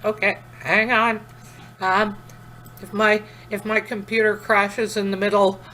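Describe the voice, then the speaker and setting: Woman, meeting organizer, slow flat delivery, bright tone. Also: mid-range voice, a little hiss or hum, rough recording.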